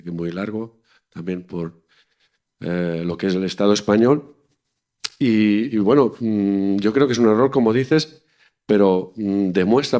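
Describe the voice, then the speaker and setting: A man from Catalonia speaking earnestly in a political discussion, often pausing to search for his words. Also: fairly low pitch, a little mellow. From Catalonia, Spain.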